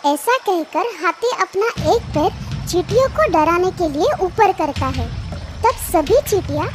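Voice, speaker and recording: A woman's voice: very high voice, lively intonation, a little slow. A woman narrating a scripted children's story as a storyteller, with music behind her voice.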